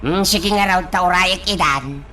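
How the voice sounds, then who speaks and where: A woman acting in an amateur radio drama, speaking in a sing-song way with drawn-out sighs. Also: on the low side, pushed and bright, a little hiss or hum.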